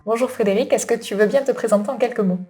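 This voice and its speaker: A woman's voice: fairly high pitch, fast, warm tone, faint music behind. A woman asking prepared questions as an interviewer.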